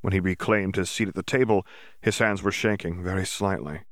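A man reading a fan fiction audiobook aloud in an even, clear storytelling voice. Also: deep voice, a little fast, dry recording, clean studio sound. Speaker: audiobook narrator.

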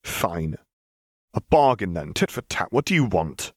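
A man's voice: deep voice, big pitch swings, a little slow, slightly rough, no room sound, clean studio sound, exaggerated voice. A man voicing a stern, frustrated female sheriff in an audiobook, insistent and questioning. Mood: curt, impatient. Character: stern, frustrated woman sheriff, pressing for answers.

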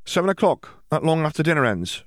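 Man putting on a high-pitched chatty schoolgirl witch. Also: sing-song, a little fast, no echo, studio quality. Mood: friendly, eager. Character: friendly, forward young redheaded schoolgirl witch. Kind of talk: audiobook character voice.

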